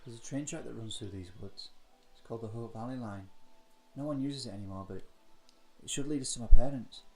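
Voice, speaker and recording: A man's voice: medium pitch, a little fast, a little mellow, faint music behind, close to an everyday voice. A man voicing a lost little girl who pleads politely and insistently in a narrated audio story. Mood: explaining.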